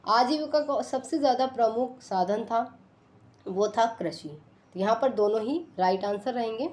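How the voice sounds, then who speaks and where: A woman's voice: fairly high pitch, measured pace, a little mellow. A woman teaching an exam quiz lesson, reading out questions and options one by one and then giving the answers. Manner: instructional, reads out items one by one.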